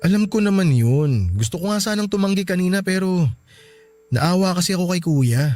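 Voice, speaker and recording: A man's voice: high-pitched, lively intonation, moderate pace. A man playing a meek, apologetic young husband in a radio drama, hesitant and pleading. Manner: hesitant, pleading, soft-hearted. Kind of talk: radio drama acting in dialogue. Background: background music.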